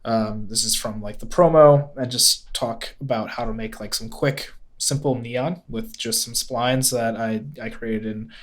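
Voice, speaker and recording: A man's voice: medium pitch, steady tone, measured pace, hardly any pauses. A man with a congested, nasal head-cold voice, casually hosting a live-stream tutorial and greeting chat viewers with plenty of ums. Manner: loose and chatty, with frequent ums and self-corrections.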